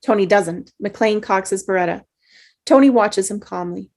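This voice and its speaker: A woman's voice: medium pitch, moderate pace, darkish tone. A woman narrator reading screenplay action and scene headings, sometimes stumbling and rereading phrases. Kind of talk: scripted narration, reading stage directions.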